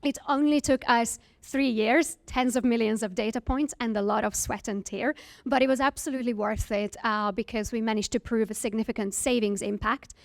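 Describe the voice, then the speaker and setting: A woman's voice: a little high, steady tone, normal speed, creaky. A woman from Hungary, giving an earnest presentation as a founder, with frequent fillers. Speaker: startup founder giving a talk. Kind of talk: presentation to an audience.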